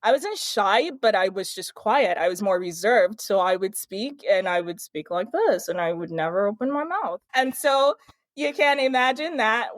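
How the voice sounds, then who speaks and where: A woman from Nigeria, a brand consultant guest, giving measured, reflective explanations in a casual interview. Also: mid-range voice, dry recording.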